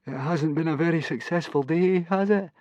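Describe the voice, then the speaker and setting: A man's voice: medium pitch, normal speed, dry recording, pitched above the performer's own voice, natural voice. A man voicing a timid, miserable Viking boy in an audiobook, meek, stammering and apologetic. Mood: glum.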